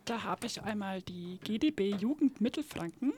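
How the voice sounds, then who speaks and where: A woman's voice: mid-range voice, animated, normal speed, mellow, husky. A woman assisting with a draw, casually reading out names.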